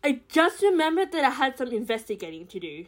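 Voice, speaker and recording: A woman playing a brisk, blunt, excitable young troublemaker in an audio drama. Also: sits high. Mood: accusing, breezy.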